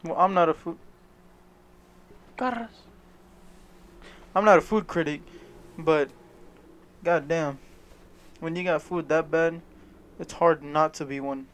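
A slightly higher-pitched man chatting casually with his friends. He is blunt and profane, joking around between complaints. He talks plainly with no strong accent. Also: slow pace, pauses often, fairly bright tone. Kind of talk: casual chat among friends.

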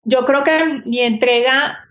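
A woman's voice: sits high, slow pace. A woman, an architect and business owner, answering interview questions earnestly with many fillers. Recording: dull lo-fi sound.

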